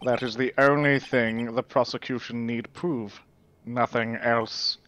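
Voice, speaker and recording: A man playing a smug, dismissive prosecutor in a fan dub of a courtroom game, sneering and deliberate. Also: medium pitch, steady tone, a bit bright, dull audio, a little hiss or hum, lightly acted.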